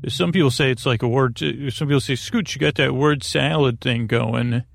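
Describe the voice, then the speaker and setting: Creaky-voiced man rambling gently through a bedtime podcast. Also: medium pitch. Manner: meandering, halting, whimsical. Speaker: podcast host.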